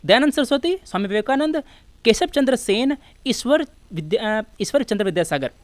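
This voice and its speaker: High, lively Indian woman teacher. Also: brisk, dry recording. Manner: explanatory, addresses students as friends, switches between two languages. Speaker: exam coaching teacher. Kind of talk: informal lecture explaining quiz questions.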